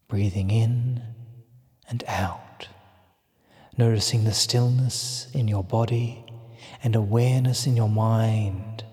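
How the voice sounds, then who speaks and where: A man narrating a guided relaxation in a hushed, soothing, measured way, giving gentle instructions with breathy phrase endings. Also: medium pitch. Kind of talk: slow guided relaxation script. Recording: long reverb on the voice.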